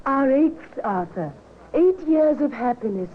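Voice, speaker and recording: A woman's voice: sits high, animated, measured pace. A woman playing an affectionate, genteel wife and mother in a vintage radio drama. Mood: fond, bright. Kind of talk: 1950s radio acting. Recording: tinny, hissy old radio recording.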